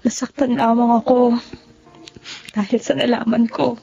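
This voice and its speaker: High-voiced, heartbroken young Filipino woman. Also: flat delivery, measured pace, vocal fry, mellow, muffled recording. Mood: heartbroken, tender. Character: heartbroken, forgiving pregnant young woman in love. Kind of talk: Filipino radio drama acting. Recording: music under the voice.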